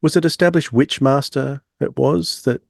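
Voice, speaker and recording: A man's voice: medium pitch, steady tone, normal speed, creaky, darkish tone. A man hosting a podcast, presenting and discussing a review. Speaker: podcast host.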